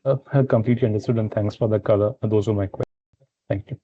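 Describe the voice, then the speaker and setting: A man's voice: fairly low pitch, fairly even intonation, quick pace, slightly rough, no echo. A man asking questions as a financial analyst on an earnings call, polite and hesitant, with roundabout phrasing. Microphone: phone line. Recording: thin phone line, very dry.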